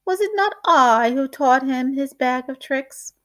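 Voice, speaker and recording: A woman's voice: sits high, expressive, a little slow, fairly bright tone, pitched above the performer's own voice. A woman voicing a pompous, insistent male stage performer in a storybook, with grandiose, theatrical declaiming.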